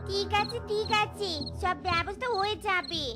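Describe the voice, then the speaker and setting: A woman's voice: very high-pitched, steady tone, normal speed, over loud music. A woman voicing a greedy, bossy cartoon wife who scolds and insists. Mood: stern. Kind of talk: cartoon character acting.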